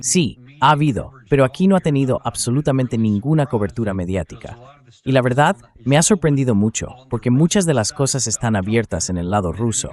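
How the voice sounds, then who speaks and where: A man's voice: mid-range voice, lively intonation, fairly quick, a little mellow. A synthetic AI man's voice reading a dubbed translation of explanatory military commentary.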